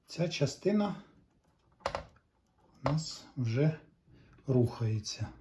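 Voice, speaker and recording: A man giving a casual hands-on repair walkthrough, halting and thinking aloud in short phrases. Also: mid-range voice, expressive, background noise. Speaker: hobbyist repairer giving a demonstration.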